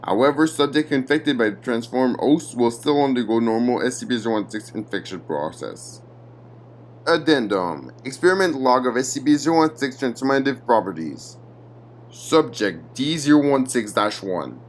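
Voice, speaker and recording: A man giving a formal, measured scripted narration of a clinical document. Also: mid-range voice, expressive, a little hiss or hum.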